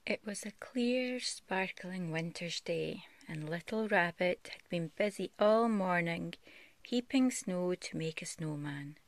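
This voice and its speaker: A woman reading a picture book aloud as a parent would, in a soft, gentle storytelling style. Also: lowish voice, animated, takes it slowly, no echo. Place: bedroom, on a bed at home.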